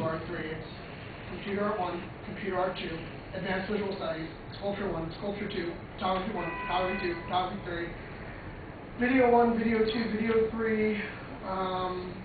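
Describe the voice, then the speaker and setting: A woman in her twenties from Long Island gives an informal, rambling personal presentation in a conversational way, with many likes. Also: medium pitch. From Long Island, United States. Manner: rambling, conversational, uses "like" often. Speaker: student presenter, creative trainer. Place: echoey room, recorded from far off. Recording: muffled lo-fi, echoey room, distant mic, noisy.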